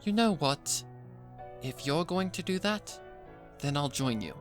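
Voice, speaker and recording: A man voicing a refined, supportive adult pony mare, composed and warm-hearted, in a fan fiction story reading. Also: on the high side, animated, measured pace, faint music behind, pitched above the performer's own voice.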